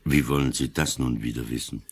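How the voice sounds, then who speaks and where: An older man playing a gruff, cunning old police commissioner in a radio drama, slow and deliberate, weighing each word. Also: steady tone. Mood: measured. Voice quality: husky, very deep.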